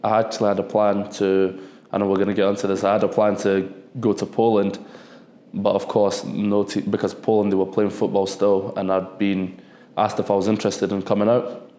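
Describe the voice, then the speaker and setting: A young man in his twenties, talking casually in a podcast interview, relaxed and rambling, often repeating himself. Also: on the low side, a little fast. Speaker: football defender, guest. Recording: echoey room.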